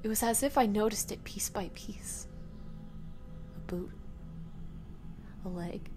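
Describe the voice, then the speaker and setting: A woman reading a first-person horror story in a dramatic, emotive, breathy delivery. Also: medium pitch, slow, music in the background.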